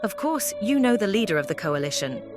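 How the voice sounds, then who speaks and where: A woman's voice: mid-range voice, sing-song, brisk, close to an everyday voice. A woman playing a defiant, taunting female bird in an audio play, speaking in a declamatory way. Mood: accusing, bitter. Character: defiant, mocking female bird, disillusioned former propagandist. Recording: music behind the voice.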